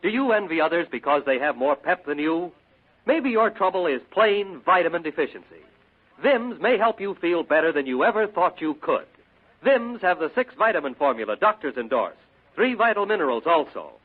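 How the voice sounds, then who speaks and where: A man's voice: fairly high pitch, big pitch swings, moderate pace. A man reading a scripted 1940s radio advertisement as a commercial announcer. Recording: muffled vintage radio broadcast.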